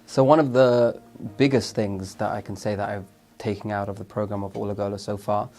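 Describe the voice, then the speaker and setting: A young man with a fairly low voice talks earnestly about his training. He reflects as he goes and restarts his phrases now and then. Soft music plays behind him. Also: steady tone, brisk. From London, England. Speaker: teacher trainee. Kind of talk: testimonial interview.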